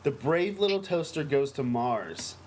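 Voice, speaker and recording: Higher-pitched American man, noisy recording. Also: animated, unhurried, a little mellow. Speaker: podcast co-host. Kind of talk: casual podcast chat. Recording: noisy amateur podcast recording.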